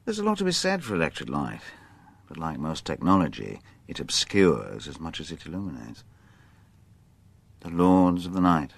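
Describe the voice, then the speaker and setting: A seasoned, wry detective in a radio drama. His voice is very low and husky with a gravelly creak, and he muses conversationally. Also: dry recording, exaggerated voice. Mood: wry, musing. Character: seasoned, wry, musing male police detective.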